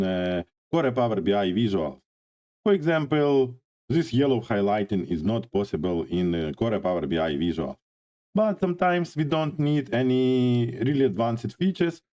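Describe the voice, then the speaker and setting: Hoarse man walking through a software tutorial. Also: mid-range voice, muffled recording. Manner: halting, frequent "uh" pauses. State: hoarse from a cold. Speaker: data visualization instructor. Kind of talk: screen-share software tutorial.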